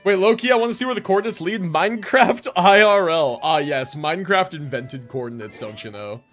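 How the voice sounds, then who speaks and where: An American man chatting casually on a live game stream in an animated, slangy, joking way. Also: on the high side, brisk, soft background music.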